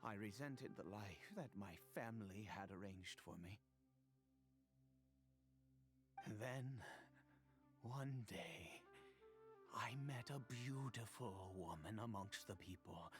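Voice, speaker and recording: An actor playing a grief-stricken, centuries-old cursed nobleman in a video game, with halting, formal speech and nervous stammers. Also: lowish voice, faint music behind. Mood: sorrowful reminiscence. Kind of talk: dramatic video game voice acting.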